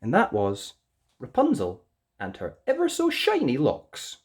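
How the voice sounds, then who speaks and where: A woman's voice: extremely low pitch, huge pitch swings, measured pace, a little mellow, husky. A woman reading a children's story aloud expressively as a storyteller.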